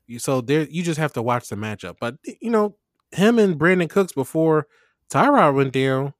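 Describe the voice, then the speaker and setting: A man's voice: on the high side, big pitch swings, normal speed, no room sound. Young male podcast host, easygoing slang, dry close-miked sound. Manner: laid-back slangy, laughs often. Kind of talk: casual fantasy football chat.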